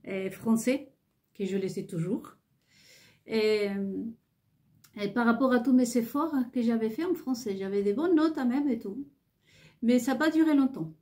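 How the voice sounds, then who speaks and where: Woman vlogger, second-language French, slow and halting, mellow higher voice, repeats herself insistently. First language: not French. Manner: halting, many hesitations and restarts. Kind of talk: rambling monologue to viewers.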